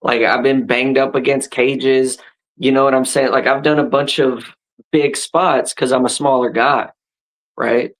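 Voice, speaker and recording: A man in his thirties talks shop in an easygoing, conversational way, often restarting his sentences and checking in with "right?" His pitch sits in an ordinary middle range, neither deep nor high. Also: flat delivery, unhurried, a bit bright, rough recording. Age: 30s. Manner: laid-back, frequent restarts and "right?" tags. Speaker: podcast host and wrestler. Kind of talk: casual chat.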